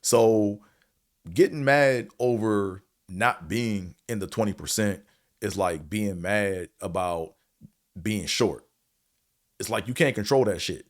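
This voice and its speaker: A man with a deep voice and a slight stutter, giving a blunt, profane, rambling opinion monologue as a show host, full of fillers. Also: slow pace. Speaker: show host reviewing a series.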